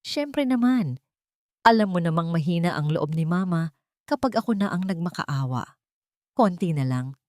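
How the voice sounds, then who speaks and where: A woman's voice: lowish voice, animated, normal speed, pauses often, darkish tone, dry recording, studio quality, lightly acted. A woman voicing a manipulative, impatient grown son who pleads and guilt-trips in an audio drama. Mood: smug scheming.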